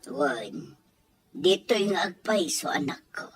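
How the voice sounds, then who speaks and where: Woman acting older Filipino mother, earnest, reproachful, slow-paced, husky edge, audio drama. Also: sits low, animated, soft background music, full character voice. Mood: worried, hushed. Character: older Ilocano mother scolding and advising her grown children.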